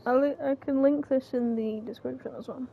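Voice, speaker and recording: A woman with a high voice makes casual, unscripted conversation. The recording is dull and lo-fi, with faint noise behind her. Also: fairly even intonation, fairly quick. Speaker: co-host.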